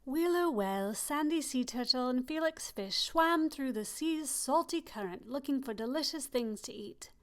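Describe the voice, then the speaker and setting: A woman reading a children's picture book aloud, expressive and slowing down on key phrases. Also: high voice. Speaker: storybook reader. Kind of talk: children's story read-aloud.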